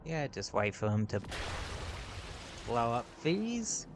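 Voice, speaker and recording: A man's voice: medium pitch, sing-song, slow pace. A male game streamer giving casual gameplay commentary, joking and swearing offhandedly. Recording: noisy stream audio.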